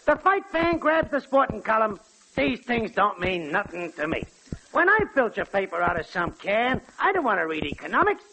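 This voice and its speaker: An actor playing a rough, lowbrow poet who theatrically recites ungrammatical doggerel about his passions, in 1940s radio comedy style. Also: very animated, moderate pace. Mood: declaiming. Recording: noisy, crackly 1940s radio broadcast.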